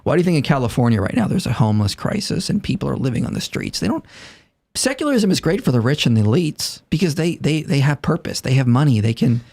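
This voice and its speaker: A man's voice: very animated, fast talker, vocal fry, a little mellow. Earnest man in his thirties arguing. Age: 30s. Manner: earnest, argumentative, voices imagined speakers in raised pitch. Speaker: podcast guest. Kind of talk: casual conversation.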